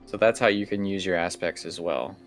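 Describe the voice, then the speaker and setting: A man acting as game master, casually explaining and setting scenes for a tabletop game in a halting, conversational way. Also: low-pitched, faint music behind.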